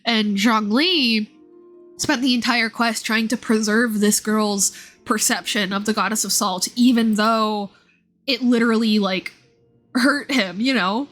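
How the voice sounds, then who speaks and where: A woman livestreamer with a somewhat high voice talks informally to her viewers about a video game. She is chatty and loose, often looping back to rephrase herself. Light game music plays underneath. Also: measured pace, fairly bright tone. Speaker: streamer and VTuber model creator. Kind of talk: casual livestream chat and game commentary. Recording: game music playing behind the voice.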